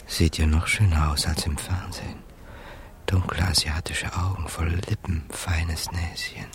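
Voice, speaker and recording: An actor playing a nervous crook's inner voice in a radio crime drama, muttering urgently to himself. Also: male voice, low-pitched, flat delivery, unhurried, slight background noise. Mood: smitten, hushed.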